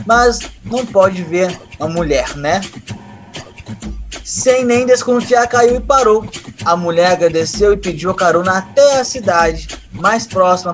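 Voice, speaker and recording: A man playing a brash, cocky young schemer in an audio drama, blunt and commanding. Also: sits high.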